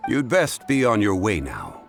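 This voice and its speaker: A man voicing a composed, courteous, dignified mentor in a video game, speaking in a measured, formal way. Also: fairly low pitch, wildly swooping pitch, vocal fry, soft background music, heavily acted. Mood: calm courteous. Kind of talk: video game cutscene voice acting.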